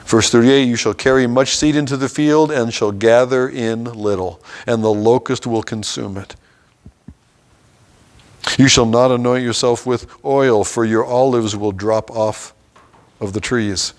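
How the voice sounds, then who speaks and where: A man's voice: mid-range voice, fairly even intonation, unhurried. A man teaching a Bible class, reading scripture passages aloud and stopping to explain them in an everyday way.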